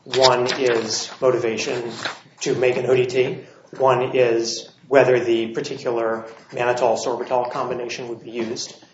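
Attorney, male, slightly scratchy voice, measured delivery with filler pauses; roomy, dull courtroom recording. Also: mid-range voice, little pitch movement. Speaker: appellate attorney. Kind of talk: courtroom oral argument.

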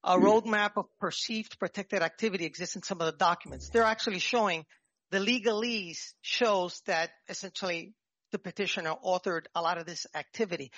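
A man with a New York accent giving a formal, halting and nervous oral argument as a petitioner in court. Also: high voice, fairly bright tone, no room sound. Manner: halting, nervous, jumping between points. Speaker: petitioner arguing own appeal. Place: appellate courtroom. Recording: dull courtroom microphone, dry sound.

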